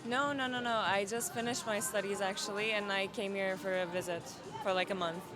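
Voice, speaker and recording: A woman from Turkey, a recent graduate visiting, answering street questions in a relaxed way. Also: mid-range voice, brisk, over music.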